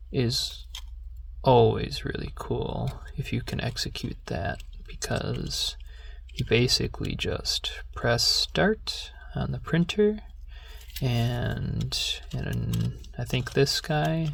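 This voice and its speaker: A soft-spoken ASMR host talking gently and without hurry, drifting into a whisper, close to the microphone. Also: mid-range voice, vocal fry, a little hiss or hum. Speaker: ASMR video creator and host.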